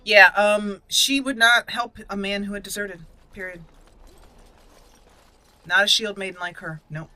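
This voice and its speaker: A woman's voice: medium pitch, steady tone, unhurried, pauses often, bright, forceful tone, dry recording. A woman giving roleplay commentary as the host of a gaming channel.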